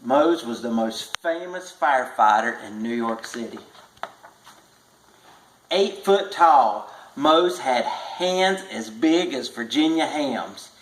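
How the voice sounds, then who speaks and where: A man reading a tall tale aloud as a storybook narrator, measured and with stretched emphasis. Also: mid-range voice, expressive, a little hiss or hum. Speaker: storybook reader. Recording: echoey room.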